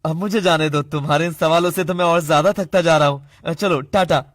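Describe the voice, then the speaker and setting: A man's voice: on the high side, fairly even intonation, fairly quick, fairly bright tone, no echo, clean studio sound, natural voice. A man playing a nervous, evasive, weary husband who stammers and hesitates, in a cartoon story.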